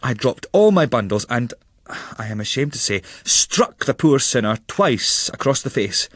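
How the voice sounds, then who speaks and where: A man reading a literary travel memoir as a narrator, in a measured, formal storytelling manner. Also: mid-range voice, big pitch swings, fairly bright tone, breathy edge, no room sound, clean studio sound. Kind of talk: dramatic literary audiobook narration.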